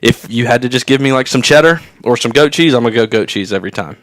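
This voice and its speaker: A man's voice: medium pitch, fast talker, a bit bright, dry recording, poor audio. A man in his twenties co-hosting a radio show, chatting casually with dry wisecracks. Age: 20s. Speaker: radio co-host. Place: radio studio.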